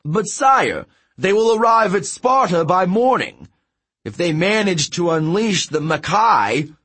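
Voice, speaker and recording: Guy doing a nervous giant underling for an audiobook, pitched up, husky, eager to please the boss. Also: sing-song, a little slow, fairly bright tone, heavily acted. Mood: servile worry. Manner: deferential, urgent. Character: anxious male giant messenger, servile, fretful underling.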